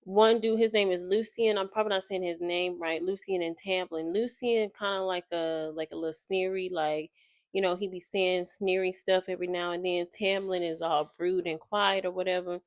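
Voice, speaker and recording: A woman's voice: fairly low pitch, fairly even intonation, normal speed, no room sound. A young woman, slightly nasal and congested, casually summarizing a book in a loose, rambling way and often searching for words. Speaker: YouTuber summarizing a book while playing a game.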